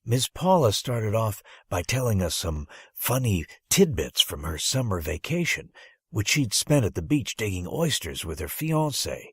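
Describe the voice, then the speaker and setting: A man's voice: lowish voice, sing-song, measured pace, a bit bright, husky, no echo, clean studio sound. A man reading a first-person story as an audiobook narrator, with dramatic phrasing and strong emphasis.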